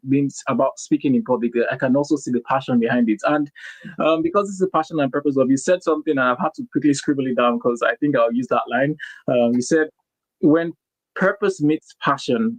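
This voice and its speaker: A male podcast host talks in a casual, halting way, full of restarts and filler sounds. His voice is mid-pitched, and the recording is dry with no room sound. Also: no echo, low-quality recording. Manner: hesitant, many restarts and ums. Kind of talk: casual interview talk.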